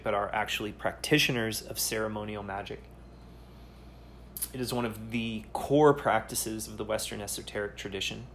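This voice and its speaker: A man hosting a solo podcast, speaking earnestly and haltingly, often pausing to search for words. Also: lowish voice, a little hiss or hum. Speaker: podcast host.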